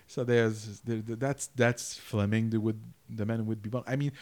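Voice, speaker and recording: A man's voice: lowish voice, steady tone, normal speed, darkish tone. A man co-hosting a podcast in casual chat, laid-back and often restarting his sentences. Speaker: podcast co-host.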